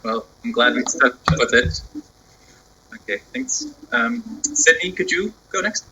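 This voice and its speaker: A man's voice: sits high, very animated, a little slow, bright, forceful tone, slight background noise, rough recording. A man hosting an online meeting, briefly and politely handing the floor to each member. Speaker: meeting host. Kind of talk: moderating a meeting. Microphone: video call.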